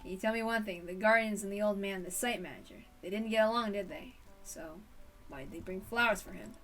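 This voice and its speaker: A woman's voice: mid-range voice, brisk, fairly bright tone, distant mic, faint music behind, close to an everyday voice. A woman voicing a folksy, stubborn older male detective in a story read-aloud. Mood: puzzled, probing.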